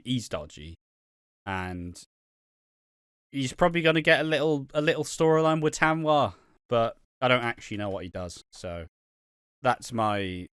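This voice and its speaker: A man's voice: fairly low pitch, a bit bright, clear, resonant tone, no echo, studio quality. A man co-hosting a casual podcast about a TV soap, swearing freely and acting out characters' lines. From United Kingdom. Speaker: podcast co-host.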